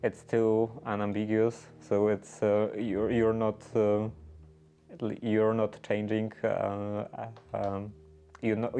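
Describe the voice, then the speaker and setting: Slow, flat-voiced man. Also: on the low side. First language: Polish. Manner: hesitant, searching for words. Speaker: livestream host. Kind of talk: casual livestream chat while knitting.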